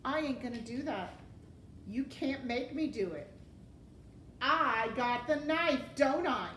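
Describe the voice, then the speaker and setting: Woman as a high-pitched, stubborn young serving girl. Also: expressive, a little slow, reverberant, a little hiss or hum. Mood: defiant. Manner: uneducated folksy grammar, blurting.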